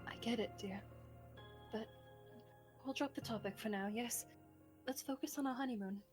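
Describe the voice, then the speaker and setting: A woman playing a gentle, devoted bride in a romantic audio drama, genteel, tender and politely formal. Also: a little high, moderate pace, over music, lightly acted. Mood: gentle, concerned.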